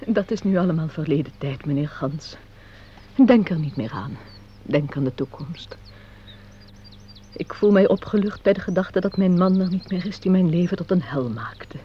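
A woman's voice: lowish voice, expressive, normal speed, husky. A woman playing a melodramatic director's wife in a theatrical Dutch radio play style. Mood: hushed, relieved. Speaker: actor. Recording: dull, noisy old radio recording.

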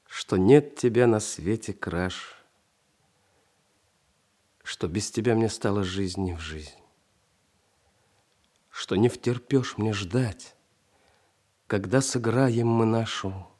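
An actor playing an ardent, bold young prince in love, in a romantic, declamatory radio play style. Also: male voice, lowish voice, very slow, long pauses, darkish tone. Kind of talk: theatrical radio play acting. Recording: music playing behind the voice.

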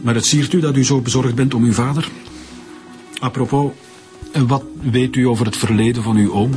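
An actor with a Flemish accent playing a brisk, formal chief police commissioner in a radio drama, measured and officious. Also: male voice, mid-range voice, fairly even intonation, lightly acted. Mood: firm, formal. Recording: music behind the dialogue.

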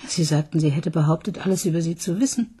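A woman's voice: low voice, fast, warm tone, slightly rough. An actress playing a skeptical woman police detective questioning a witness. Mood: probing.

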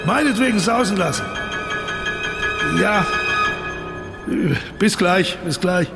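A giddy middle-aged author in a radio play, high-ish gravelly voice, really animated. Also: male voice, slow, over music. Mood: breezy, excited. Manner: animated, expansive, declamatory. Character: exuberant middle-aged male dissident writer, boyish and euphoric. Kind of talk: radio drama acting.

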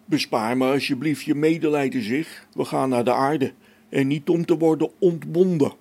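A man's voice: fairly high pitch, normal speed, gravelly, a little mellow. A man playing an irritable, sardonic space station engineer in a radio play, emphatic and impatient. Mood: irritated.

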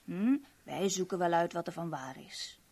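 A woman's voice: on the low side, normal speed, darkish tone, breathy edge. An actress playing a brazen, flirtatious, motherly crook in a 1970s radio drama, cooing and coaxing suggestively. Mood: seductive, coaxing. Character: brazen, flirtatious motherly female crook calling herself mama.

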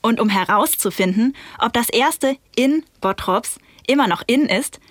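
An actress playing a polite, probing local radio interviewer, formal and measured, in a radio play. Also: female voice, a little high, lively intonation, no echo, clean studio sound. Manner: formal, measured presenter delivery.

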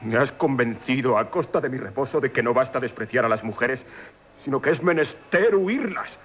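An actor with a Castilian Spanish accent playing a proud, hot-tempered gentleman who scorns women, declaiming indignantly in a radio comedy. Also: mid-range voice, expressive, normal speed, husky, dull audio. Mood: bitter. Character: proud, hot-tempered woman-hating gentleman, tormented by love. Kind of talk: theatrical 1970s radio comedy acting.

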